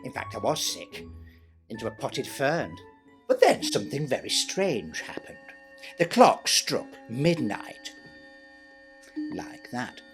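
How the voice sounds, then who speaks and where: A vain, snide British pantomime step-sister, played by a man, tells a story in a very animated, swooping voice. She is gossipy and self-important, full of exclamations and chatty asides, with faint music in the recording. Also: on the high side. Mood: jealous, sarcastic, then dramatic, gossipy. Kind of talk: theatrical first-person pantomime storytelling.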